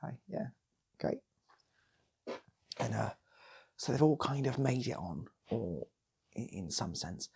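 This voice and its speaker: A British man spins an improvised comic tale with a very husky, gravelly voice. His pitch moves around a lot as he rambles and repeats himself for effect, sometimes slipping into whispers and little acted voices. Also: sing-song, slow pace, long pauses, darkish tone. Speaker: channel host and storyteller.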